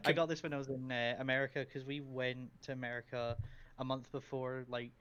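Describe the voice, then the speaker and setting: A man's voice: medium pitch, normal speed, a bit bright, smooth, highs cut off. A Scottish man chatting as a podcast guest, rambling through stories with lots of ums and giggles. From Scotland. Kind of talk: casual chat, anecdotes.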